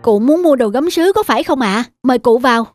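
A woman's voice: sits high, expressive, a little fast, music in the background. A woman voicing an earnest young man who sells pottery in a cartoon, humble and courteous. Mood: polite, earnest. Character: polite, earnest young male pottery seller. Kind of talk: cartoon dubbing.

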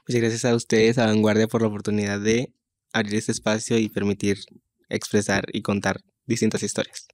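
A man's voice: fairly low pitch, flat delivery, fairly quick, no room sound. Teen guy on a podcast, upbeat, says este a lot, dry sound. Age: teenager. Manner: grateful, upbeat, uses filler "este". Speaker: guest. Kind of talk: interview conversation.

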